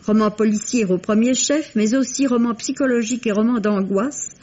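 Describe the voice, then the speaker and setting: Husky-voiced woman, vintage radio book review. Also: fairly high pitch, darkish tone. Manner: formal, literary, measured storytelling. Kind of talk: scripted narration, 1960s French radio book review. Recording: dull, lo-fi vintage radio recording.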